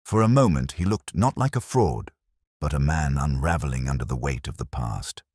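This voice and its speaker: Very deep-voiced male story narrator. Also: expressive, moderate pace, darkish tone, slightly rough, no echo, clean studio sound. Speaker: narrator, Dr Watson telling a detective story. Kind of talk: scripted audiobook narration of a Victorian mystery.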